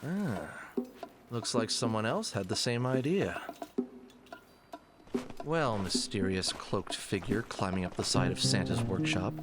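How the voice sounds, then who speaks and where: A man's voice: fairly low pitch, animated, unhurried, long pauses. A man playing a wry fox adventurer in an audio drama, talking to himself with dry understatement. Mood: wary, wry. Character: wry male fox adventurer, sneaky, self-narrating, improvising. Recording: loud music under the voice.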